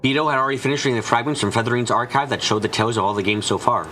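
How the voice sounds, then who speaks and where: Male narrator for a scripted fantasy tale, quick reader with an average-pitched voice over background music. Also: fairly even intonation, pushed and bright.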